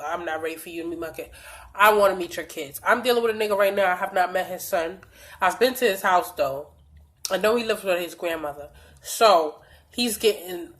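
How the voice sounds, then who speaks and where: A young woman tells a storytime vlog in a blunt, profane, animated way. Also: mid-range voice. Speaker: vlogger.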